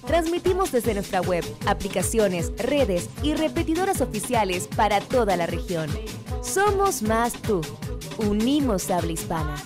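A woman's voice: medium pitch, big pitch swings, a little slow. A woman reading a scripted radio station promo as an announcer. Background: prominent music.

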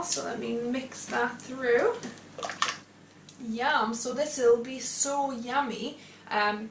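A woman in her thirties talks through a kitchen demonstration in a casual, slow-paced way. The room rings noticeably around her voice, which sounds a little away from the microphone. She is the host, explaining each step as she goes. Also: sits high. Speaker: cooking show host. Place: indoor home kitchen. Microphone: clipped lapel microphone. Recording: echoey room, slightly distant, faint noise.

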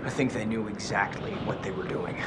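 A man's voice: lowish voice, fairly quick, heavy background noise, natural voice. An actor playing a laid-back, level-headed young man in a horror video game. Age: young adult. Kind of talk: video game cutscene acting. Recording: loud game ambience behind.

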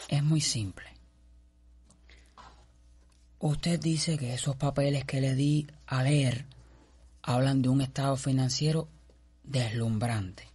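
A Cuban actor playing a calculating, persuasive colonial gentleman in a radio drama, with a formal, insistent manner. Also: mid-range voice, fairly even intonation, measured pace, breathy edge.

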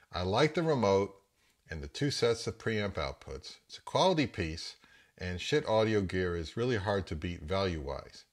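A man in his fifties giving scripted commentary on products as the host of a review channel. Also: sits low.

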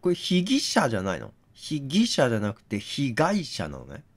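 A man doing read-aloud game commentary, musing and deliberating as he thinks out loud. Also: medium pitch, wildly swooping pitch, normal speed. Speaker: gaming channel host.

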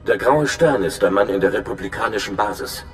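Ein Schauspieler als ernster, berechnender Informant und Spion in einem Videospiel, der eindringlich erklärt. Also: male voice, fairly low pitch, lively intonation, fairly quick, faint music behind, close to an everyday voice. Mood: urgent. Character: earnest, scheming male spy informant. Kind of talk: dramatic video game dialogue in German. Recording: echoey, noisy hologram transmission.

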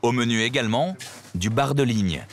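A man reading scripted documentary narration in a measured storytelling way. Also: mid-range voice, slight background noise.

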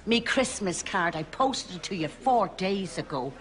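Sitcom role: older Dublin woman next door, naive and earnest, slightly low voice. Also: lively intonation, unhurried. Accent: Irish, Dublin. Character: dim, earnest older Irish woman neighbour. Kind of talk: studio sitcom acting.

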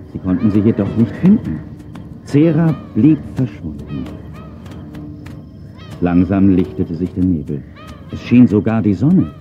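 A man narrating a children's audio play as a dramatic, animated storyteller. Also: lowish voice. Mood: calm storytelling. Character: animated male storyteller of a children's dinosaur tale. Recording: dull cassette-era audio play recording.

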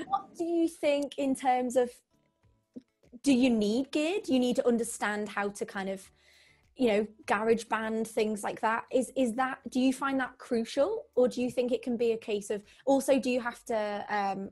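A woman's voice: on the high side, fairly even intonation, moderate pace, darkish tone, faint music behind. A young British woman hosting an interview over a video call, asking long rambling questions with many fillers. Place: home room indoors. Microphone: video call webcam.

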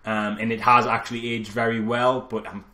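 A man with a medium-pitched voice talks as a co-host on a film review podcast. He chats casually and spins anecdotes in a joking, sweary style. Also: flat delivery, moderate pace, pushed and bright. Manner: jokey anecdotal storytelling, sweary.

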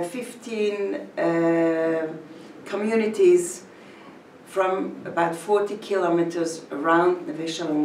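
Older woman, gravelly and roomy. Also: lowish voice, slight background noise. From Switzerland. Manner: measured, phrase by phrase, with pauses. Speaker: community educator. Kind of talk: talking to camera about her village. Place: indoor room in front of a painted mural. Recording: echoey room, mic at a distance.

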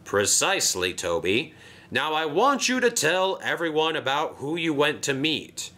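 A fussy, commanding railway director, put on by a man in a storybook reading. He speaks formally and crisply in a bright voice, with a pitch that climbs and dips dramatically. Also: sing-song, exaggerated voice. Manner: formal, brisk.

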